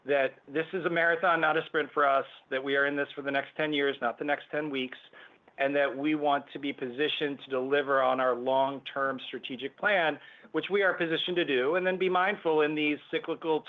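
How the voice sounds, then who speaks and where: A man answering business questions as a company executive on an earnings call, with halting corporate phrasing. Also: fairly high pitch, fairly even intonation. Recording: thin phone-like conference line.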